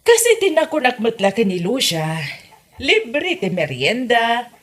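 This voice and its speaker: A woman's voice: fairly high pitch, wildly swooping pitch, unhurried, heavily acted. A woman with an Ilocano Filipino accent acting a generous, welcoming female poultry farm boss in a comedy audio drama. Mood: friendly, instructing.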